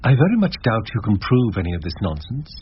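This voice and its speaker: A man's voice: on the low side, sing-song, brisk. An actor playing a proud, defensive older father in a radio drama, with a stiff manner. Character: proud, defensive older English father shielding his son. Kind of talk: BBC radio drama acting. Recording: dull radio recording with hiss.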